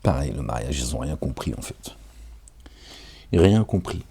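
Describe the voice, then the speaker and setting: A man's voice: very deep voice, animated, unhurried, gravelly, warm tone, husky. A tired man reading scripture aloud and commenting on it in a casual, digressive way, talking straight to his listeners with chuckles. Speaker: self-styled preacher reading and commenting on scripture.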